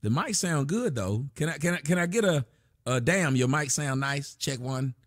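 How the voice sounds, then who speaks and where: Lively, profane livestream host, dry sound. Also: male voice, fairly high pitch, moderate pace, no room sound. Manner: loose, profane, reads donations and chat aloud. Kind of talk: casual livestream chat. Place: small indoor room at a desk.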